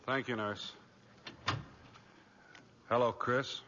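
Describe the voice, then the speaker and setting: An actor playing an earnest, loyal and slightly exasperated lawyer friend in 1940s radio style. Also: male voice, medium pitch, fairly even intonation, extremely slow, sharp tone, slight background noise. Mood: concerned. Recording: dull lo-fi old radio recording.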